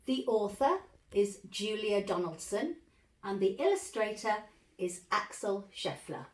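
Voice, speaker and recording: A woman reading a bedtime story aloud to young children in an animated, measured, dramatic way. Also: medium pitch, slight background noise. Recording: roomy echo, distant microphone.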